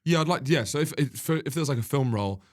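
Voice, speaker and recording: A man in casual conversation as a podcast guest and comedian, wry and bantering, quick to laugh. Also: medium pitch, lively intonation.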